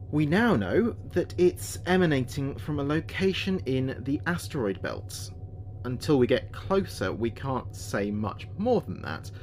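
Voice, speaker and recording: A man playing an earnest spaceship communications officer, speaking his log in a measured, precise way for a sci-fi audio drama. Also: mid-range voice, a little mellow, slight background noise. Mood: quietly excited.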